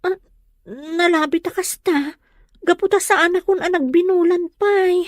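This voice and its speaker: A woman's voice: very high voice, measured pace, no echo, studio quality. A woman playing a young pregnant wife who is needy, sweet and hesitant in a comedy audio drama. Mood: plaintive.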